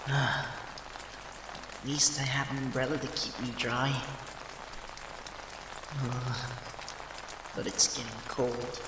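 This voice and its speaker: Man voicing a haughty, flustered cat deity, slow-paced. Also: medium pitch, noisy background, close to an everyday voice. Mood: sulky, indignant. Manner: haughty, flustered, theatrical, easily indignant. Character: banished proud young male forest deity, cursed into cat form. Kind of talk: scripted ASMR roleplay addressed to the listener. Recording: rain sounds over the voice. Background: rain ambience.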